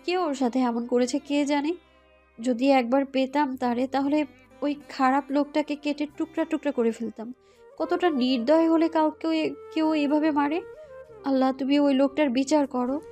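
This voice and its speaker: A woman's voice: high-pitched, steady tone, moderate pace, dark tone, faint music behind, close to an everyday voice. A woman playing a caring, stubborn young university student in an audio story, speaking earnestly and with emotion. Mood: distressed, angry. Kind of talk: audio story character acting.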